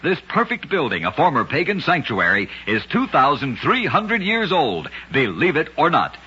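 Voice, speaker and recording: A man narrating strange true facts in a scripted old-time radio style, with a brisk, dramatic storytelling cadence. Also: fairly high pitch, wildly swooping pitch, pushed and bright, husky, muffled recording.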